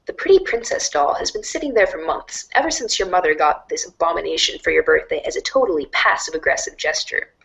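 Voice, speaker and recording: A woman voicing a wry, mock-grandiose storybook narrator in a dramatic read-along style, deadpan and sardonic. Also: low-pitched, quick pace, gravelly, hardly any pauses, full character voice, rough recording. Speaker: narrator of a fan readers' theater show.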